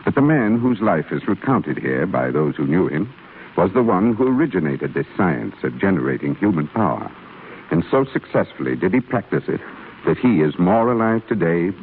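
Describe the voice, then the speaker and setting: An actor playing a kindly, earnest older stonecutter in a measured, preaching 1930s radio drama style. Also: male voice, low-pitched, gravelly, exaggerated voice. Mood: reverent, assured. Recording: muffled scratchy 1930s radio broadcast.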